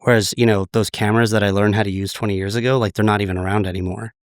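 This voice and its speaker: A man in his late thirties, a podcast guest, slightly raspy from a recent illness, talking in a relaxed, reflective way. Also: fairly low pitch, racing, no echo, clean studio sound. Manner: relaxed, reflective, many "like" fillers. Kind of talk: casual interview chat.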